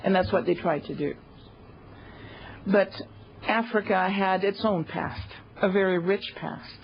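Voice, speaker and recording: Woman lecturing real slow, lots of uhs, muffled recording. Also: mid-range voice, fairly even intonation, slight background noise. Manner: slow, hesitant, many pauses and fillers. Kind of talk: university lecture. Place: lecture room.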